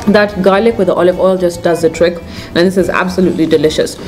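Young kenyan lady doing casual restaurant review, kinda low voice, music behind her. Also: fairly even intonation, quick pace, darkish tone. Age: young adult. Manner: chatty, informal. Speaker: food vlog host. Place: indoors at a restaurant table.

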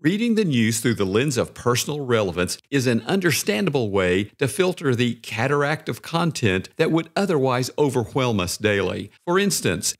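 A man narrating a scripted podcast article in a measured, articulate way. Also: medium pitch.

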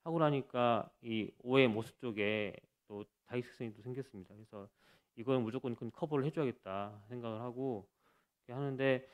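A man at a conference podium walks through a medical case, speaking into the microphone in a mid-pitched voice. He talks haltingly, with frequent fillers and self-corrections. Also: darkish tone. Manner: hesitant, many fillers and restarts. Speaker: cardiologist presenting a case. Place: conference hall stage.

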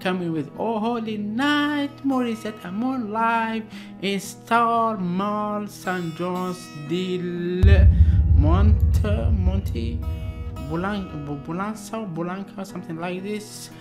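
A man's voice: high-pitched, slow pace, music in the background. Algerian-accented man hosting reaction videos. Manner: repeats words and phrases emphatically. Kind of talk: casual, excitable reaction vlog. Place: small indoor room at a desk.

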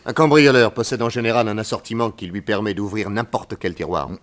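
An actor playing a shrewd, calm male detective who questions witnesses in a measured, probing way, in an old radio drama. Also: lowish voice, natural voice.

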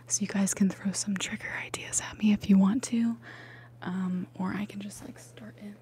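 A young woman whispering through an ASMR livestream, chatting casually and repeating words softly into the mic. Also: mid-range voice, steady tone, moderate pace, noisy background. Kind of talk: whispered ASMR live chat. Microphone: ASMR microphone.

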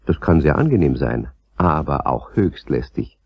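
A man's voice: very deep voice, very animated, normal speed, gravelly, breathy edge, no echo, muffled recording, exaggerated voice. A man narrating a children's radio play as a scripted storyteller.

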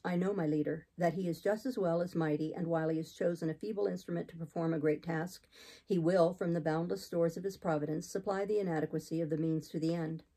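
A woman voicing a zealous, austere male missionary in an audiobook, solemn, deep and unyielding as he exhorts. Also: steady tone, fast, mellow, slightly rough, low-quality recording. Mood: solemn urging. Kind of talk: audiobook character reading.

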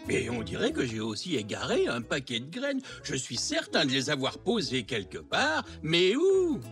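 A man's voice: fairly high pitch, wildly swooping pitch, normal speed, over music. A man voicing a kindly, forgetful old grandfather zebra in a children's cartoon, muttering in a puzzled way. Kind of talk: French children's cartoon dialogue.

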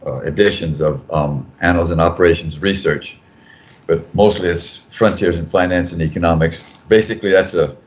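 An older man, a journal editor, talking casually on a video call panel, rambling and correcting himself as he goes. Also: deep voice. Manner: rambling, self-correcting, joking. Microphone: video call mic. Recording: dull lo-fi video call audio.

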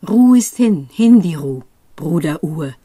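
A woman reading poetry aloud in an expressive literary style, with measured, deliberate phrasing and pauses. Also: low voice, breathy edge, no echo. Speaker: poetry reader.

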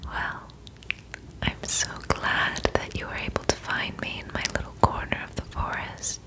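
Woman acting as a kindly young witch, ASMR roleplay, breathy whisper, slow pace, soothing, close-miked. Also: natural voice, rough recording. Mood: soothing, reassuring. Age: 20s. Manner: slow, gentle, reassuring. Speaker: ASMR performer. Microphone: close microphone.

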